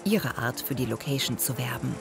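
Documentary narrator: woman, low-pitched, measured scripted voice-over with music behind. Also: animated, vocal fry. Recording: background music under the voice-over.

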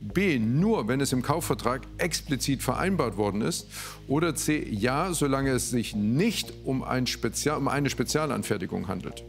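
A man hosting a quiz show, reading out questions and answer options in a measured way. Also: medium pitch, expressive, creaky, faint music behind.

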